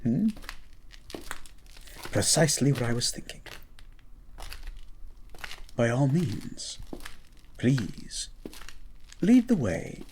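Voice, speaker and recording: A man's voice: mid-range voice, a little mellow, a little hiss or hum. A man voicing a courtly, bookish mutant scientist beast in a romantic audio drama, speaking tenderly with eloquent, theatrical phrasing.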